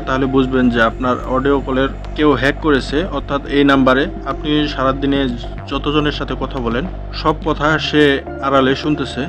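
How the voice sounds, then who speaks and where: A Bangladeshi man hosts a tech tutorial, talking quickly and walking through each step in repetitive, instructional phrasing. The recording is dull and lo-fi, with music playing clearly behind his voice. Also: medium pitch. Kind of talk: step-by-step screen tutorial narration. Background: clear background music.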